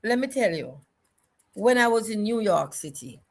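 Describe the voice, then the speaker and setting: An older woman with a Jamaican accent, speaking as an interview guest in a reflective, deliberate way and repeating phrases for emphasis. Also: mid-range voice, big pitch swings. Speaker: guest, choreographer and dance educator.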